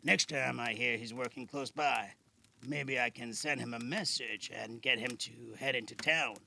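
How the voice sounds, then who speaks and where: Casting: male actor for a gruff older healer in an audio drama, very gravelly and forceful, guarded but kindly. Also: medium pitch, moderate pace. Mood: guarded, relaxed. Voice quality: gravelly, creaky. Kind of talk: amateur audio drama acting. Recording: faint background noise.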